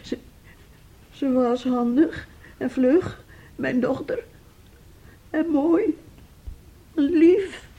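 High-voiced, slow, grieving mother. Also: mellow, muffled recording, full character voice. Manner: tearful, halting, repeats her words. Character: grieving mother, distraught and abandoned by her daughter. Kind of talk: radio drama acting.

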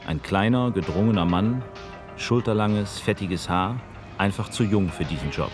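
A man narrating a documentary in measured first-person storytelling as an investigative journalist. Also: fairly low pitch. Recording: music underneath.

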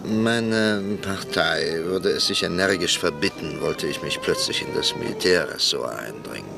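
An actor playing a fussy elderly professor and politician in a radio play, hesitant and evasive. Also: male voice, deep voice, a little slow, gravelly, music in the background. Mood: flustered. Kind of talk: radio drama acting.

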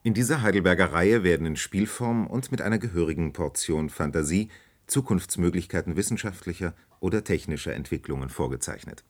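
A man announcing a radio play in a formal, deliberate vintage broadcast style. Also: low voice, darkish tone.

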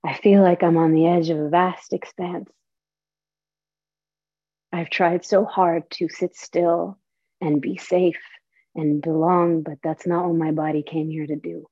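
A young woman from Saudi Arabia and Palestine, a storyteller and performer, speaking in an earnest, deliberate way, moving from a theatrical monologue into a personal talk. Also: lowish voice, flat delivery, warm tone, dry recording. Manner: earnest, slow, deliberate delivery. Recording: dull, lo-fi audio.

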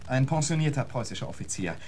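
A man's voice: medium pitch, lively intonation, fast talker, darkish tone, slight background noise, lightly acted. A man playing a genteel, theatrical lecturer in a radio drama, protesting politely in flowery, mannered phrases. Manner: flowery, mannered, eager to persuade. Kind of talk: German radio drama acting.

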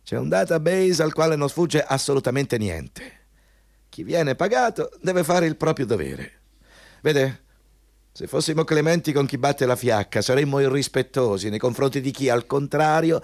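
Man acting a pompous company boss, swinging pitch. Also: animated, normal speed, no room sound, studio quality, close to an everyday voice. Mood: self-important. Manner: pompous, insistent, repeating words for emphasis. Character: arrogant, bullying male company boss interviewing a job applicant. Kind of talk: Italian radio play acting.

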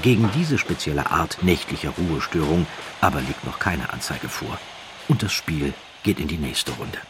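A man narrating a scripted documentary story in a measured, dramatic way with deliberate pauses. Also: rumbling low, lively intonation, noisy background.

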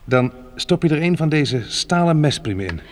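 A man's voice: on the high side, expressive, normal speed, lightly acted. Actor as resolute survivor man, noisy radio recording. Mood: practical, assured. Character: resolute, practical man surviving a monster-plant catastrophe. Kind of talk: Dutch radio play acting.

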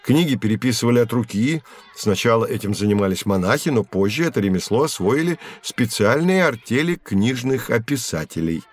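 An actor playing a learned professor who explains history in a measured, didactic way in an educational audio drama. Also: male voice, medium pitch, expressive, natural voice. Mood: patient, explanatory. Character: learned male professor guiding children through history.

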